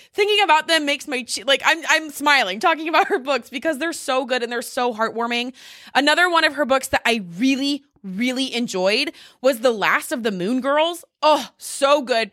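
A woman's voice: high voice, sharp tone, no room sound, studio quality. A woman talking casually and emphatically in a gushing, conversational monologue, like a vlogger sharing her favorites. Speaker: vlogger recommending favorite books. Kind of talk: casual chatty storytime monologue to camera.

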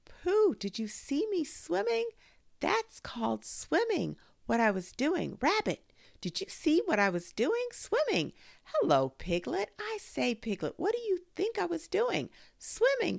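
A woman voicing a tiny young kangaroo who squeaks with eager excitement. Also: measured pace, gravelly, full character voice. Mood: excited boasting.

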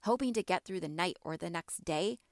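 A mother hosting a motivational podcast, her voice a little raspy from allergies, talking earnestly and encouragingly and asking her listeners question after question. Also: lowish voice, expressive, a little fast, dry recording, clean studio sound. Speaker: podcast host.

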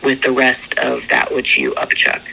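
A man's voice: medium pitch, big pitch swings, moderate pace, gravelly, sharp tone, slight background noise, pitched above the performer's own voice, heavily acted, low-quality recording. A man putting on a vague, mystical woman shaman who rambles solemnly about inner journeys. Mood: spacey. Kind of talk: comedy bit with a put-on guest.

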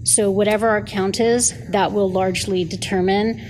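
A woman's voice: medium pitch, steady tone, moderate pace, darkish tone, rough recording. Woman interviewed over noticeable background noise. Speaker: city management analyst. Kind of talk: spontaneous interview answers.

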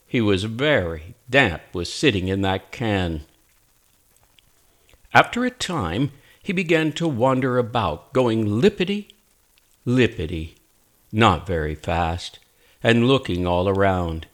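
A man reading a children's story aloud as an expressive narrator, with deliberate, dramatic phrasing. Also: fairly low pitch.

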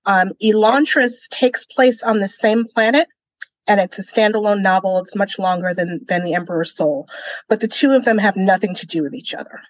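A woman's voice: medium pitch, moderate pace, no echo, poor audio. A woman co-hosting a casual book discussion, explaining things as a guide to the listener. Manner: explanatory, guiding. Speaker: podcast co-host.

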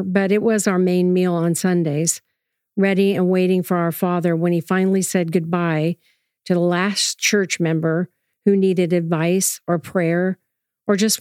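A woman playing a grown preacher's daughter, recalling her childhood in measured, reflective first-person narration for an audio drama. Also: fairly low pitch, a little mellow, no room sound, studio quality, close to an everyday voice.

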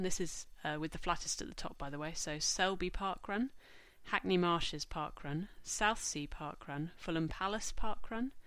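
A woman hosts a scripted podcast, her voice a little stuffed up from a cold. She talks in a measured, informative way and slows right down when she reads out lists. Her voice sits fairly low and is recorded dry and close to the mic. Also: a bit bright, no room sound. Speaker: podcast host.

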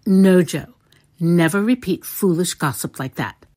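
Actress as a slow, husky, gentle mother. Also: lowish voice, big pitch swings, full character voice. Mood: grave, consoling. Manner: serious yet cheery, measured. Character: gentle, grave, loving middle-aged mother counselling her daughters. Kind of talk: dramatized audiobook character acting.

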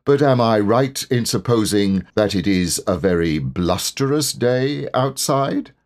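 Man playing a pompous, slow-talking owl. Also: lowish voice, animated. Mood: solemn pompous. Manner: pompous, deliberate. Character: solemn, pompous, wordy male owl.

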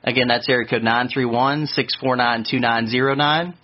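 Man, radio host, friendly, relaxed, easy laugh, muffled lo-fi sound. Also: mid-range voice, moderate pace. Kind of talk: radio interview.

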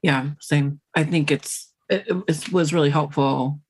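A woman's voice: low-pitched, steady tone, unhurried, breathy edge. A woman chatting casually as a team member in a group discussion, informal and self-deprecating. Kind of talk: casual chat.

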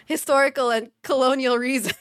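A woman from the Philippines hosting a podcast, explaining her views in measured, thoughtful casual chat. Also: high-pitched, fairly even intonation, no room sound, studio quality. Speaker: podcast host.

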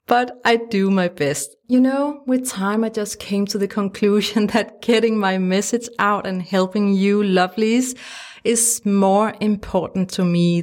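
A woman from Denmark with a Danish accent, hosting her own podcast from a small home studio in a gentle, encouraging way. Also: mid-range voice. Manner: gentle, encouraging, laughs lightly now and then. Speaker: podcast host and life coach. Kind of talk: solo podcast monologue. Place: small home studio lined with sound panels.

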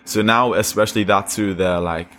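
A man's voice: lowish voice, fairly even intonation, normal speed, fairly bright tone, slight background noise. A young man from Australia and Nigeria, a skater guest chatting loosely with slang and lots of fillers. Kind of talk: casual chat.

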